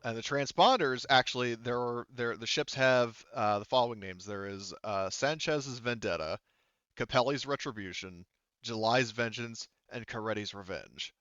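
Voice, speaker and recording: A man running a tabletop game, explaining rulings and details in a casual, halting way. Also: medium pitch, a bit bright, clear, resonant tone, no echo, muffled recording. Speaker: game master.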